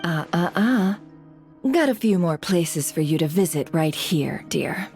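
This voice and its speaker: A woman voicing a poised, sly boss in a video game, measured, teasing and formal in her speech. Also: lowish voice, animated, over music.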